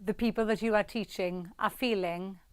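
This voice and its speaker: A woman's voice: mid-range voice, steady tone, moderate pace, smooth, no room sound. A woman hosting an awards ceremony, bubbly and gushing as she interviews a winner.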